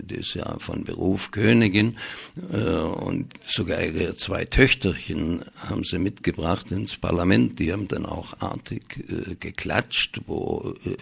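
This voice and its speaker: Male radio host, deep and husky, heavily creaky, delivering dry ironic political chat. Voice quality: gravelly, husky. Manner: wry, ironic. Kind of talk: casual political commentary.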